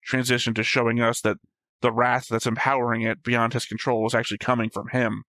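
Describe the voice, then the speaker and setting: A man's voice: medium pitch, flat delivery, a little fast, pushed and bright, no room sound. A man talking casually as a reaction show host, rambling and explaining his thoughts at length. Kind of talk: casual chat, discussing an anime episode.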